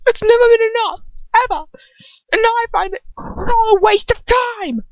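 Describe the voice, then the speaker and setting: A woman's voice: extremely high pitch, moderate pace, no echo, highs cut off, voice pushed up. A woman reading an audiobook as an anguished, bitter young wizard son pleading with his father in an emotional, wavering voice. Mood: anguished, wounded.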